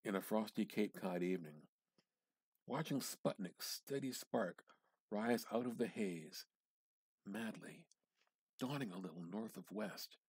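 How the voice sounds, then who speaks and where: A man giving a measured, theatrical reading of a literary short story, drawing out words and pausing for effect. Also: fairly low pitch, a little mellow, slightly rough, no room sound. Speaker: amateur reader practicing dramatic reading.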